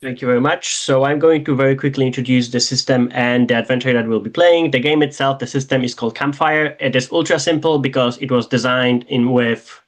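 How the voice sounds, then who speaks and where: Man, game master, halting, restarts, mid-pitched voice. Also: steady tone. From Poland. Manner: halting, many restarts and fillers. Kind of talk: introducing a tabletop game.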